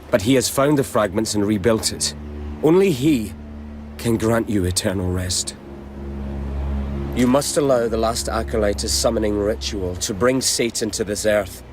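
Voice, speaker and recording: An actor playing a solemn, resolute warrior son in a dark fantasy game, speaking with measured, earnest, portentous delivery. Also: male voice, lowish voice, a little hiss or hum, natural voice. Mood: resolute, persuasive. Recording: music under the voice.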